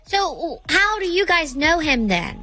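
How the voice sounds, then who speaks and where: A woman's voice: very high-pitched, big pitch swings, measured pace, bright, forceful tone, soft background music. A woman talking casually on a radio show, in an everyday conversational way. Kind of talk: casual talk.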